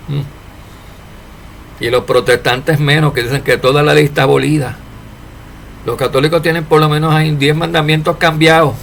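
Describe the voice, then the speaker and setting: Male preacher, slightly high, gravelly voice, faint hiss behind. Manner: preachy, rhetorical questions, animated rises and drops in loudness. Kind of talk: informal sermon to followers.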